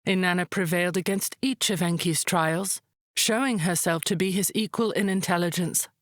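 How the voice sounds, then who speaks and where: Calm, low-voiced wise high priestess, played by an actress. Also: female voice, no echo, studio quality, natural voice. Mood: solemn, reverent. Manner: measured, deliberate, solemn. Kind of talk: measured mythic storytelling in a visual novel.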